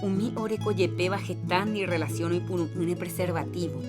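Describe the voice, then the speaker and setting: A woman's voice: on the low side, normal speed. Paraguayan woman reading a health announcement. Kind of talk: scripted public health announcement. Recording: music bed under voice.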